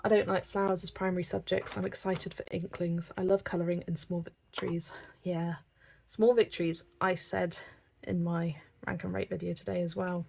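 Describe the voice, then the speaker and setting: A relaxed woman chats aloud while colouring on a livestream, her voice a little low and gravelly. She thinks out loud, answers comments and slips in small laughs. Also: normal speed. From England. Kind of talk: casual chat while colouring, reading live comments.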